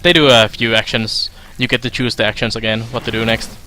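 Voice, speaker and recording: Bright-voiced man from Finland streaming a game. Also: medium pitch, fairly even intonation, slight background noise. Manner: chatty, halting, many fillers, reads game text aloud. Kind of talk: casual let's play commentary.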